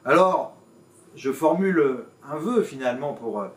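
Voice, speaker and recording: A man gives a formal public address as a mayor, in measured, emphatic phrases broken by pauses and hesitations. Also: medium pitch, expressive. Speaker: mayor and intercommunal president. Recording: roomy, distant microphone.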